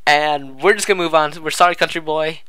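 A man's voice: a little high, steady tone, a little fast, pushed and bright, clean tone, no echo. A man co-hosting a casual podcast, talkative and emphatic, drawing out his show intro. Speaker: podcast co-host.

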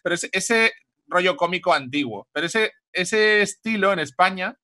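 A man's voice: high-pitched, lively intonation, normal speed, sharp tone. A man in his thirties with an accent from Spain, hosting a casual comedy chat from home over a video call. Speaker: comedy show host.

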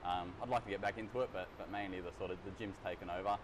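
Fast-talking young man, low voice. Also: background noise. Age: 20s. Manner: relaxed, rambling with many fillers and restarts. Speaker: exercise physiologist. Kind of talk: casual interview answers. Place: large gym studio, indoors.

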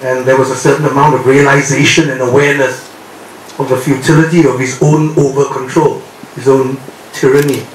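A man's voice: mid-range voice, little pitch movement, a little fast, slight background noise. An older man, an actor on a panel after a screening, talking earnestly and bluntly about his views. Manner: earnest, outspoken. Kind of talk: post-screening Q&A answer. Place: screening room, seated. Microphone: handheld mic. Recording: very echoey room, distant.

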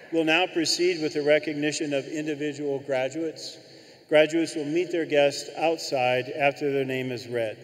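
An older man giving a formal scripted ceremony address as a university official at a podium microphone in a large arena. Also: on the high side. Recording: echoey arena hall with long reverb.

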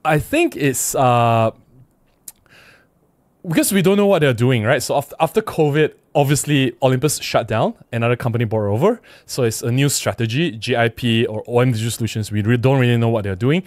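A middle-aged man with a Malaysian accent hosts a casual livestream at a close desk microphone. His voice sits at a medium pitch, and the sound is dry with very little room. He talks conversationally, with emphasis, as he reads comments and responds to them. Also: brisk, dry recording. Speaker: livestream host. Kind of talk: casual livestream chat, reading out viewer comments and replying. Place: small indoor room at a desk. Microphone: close desk microphone with windscreen.

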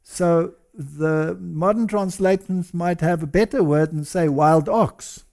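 A man's voice: a little high, takes it slowly, creaky, a little mellow. An older man co-hosting a religious discussion, explaining points in a lecturing way. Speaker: co-host. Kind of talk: studio discussion.

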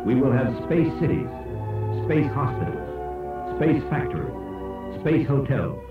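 A man reading scripted educational narration in an emphatic, oratorical way with dramatic pauses. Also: medium pitch, very animated, far from the mic. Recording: echoey, dull old cassette played aloud, music. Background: music.

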